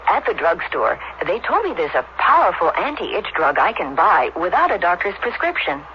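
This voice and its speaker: High-pitched, animated male radio ad testimonial. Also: moderate pace. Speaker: radio commercial testimonial speaker. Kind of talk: scripted advertising testimonial. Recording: muffled radio broadcast with hiss.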